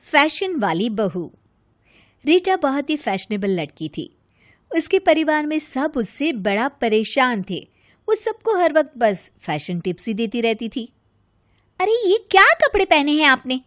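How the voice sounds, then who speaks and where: High-pitched woman narrating a story. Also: sing-song, a little slow, no echo, studio quality.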